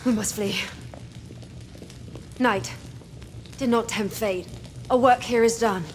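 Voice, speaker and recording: An actress playing a grave, resolute rebel leader in a video game, speaking in a formal, measured way. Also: female voice, on the high side, fairly even intonation, faint music behind. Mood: solemn, resolute. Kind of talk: cinematic video game acting. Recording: game ambience noise behind voice.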